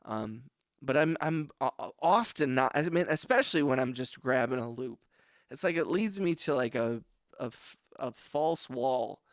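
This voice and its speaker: A man's voice: medium pitch, normal speed, no room sound, studio quality. Laid-back man thinking aloud on stream. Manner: rambling, thinks aloud, often questions himself; relaxed, friendly shout-outs to the chat. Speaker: livestream music producer. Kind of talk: casual livestream chat. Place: home studio.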